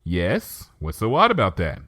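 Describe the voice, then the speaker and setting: A man's voice: sits low, huge pitch swings, a little slow, a bit bright, dry recording, studio quality, pitched below the performer's own voice, exaggerated voice. A man voicing a young, bookish female pony, skeptical and exasperated, reasoning articulately in a cartoon fan-fiction reading. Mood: puzzled.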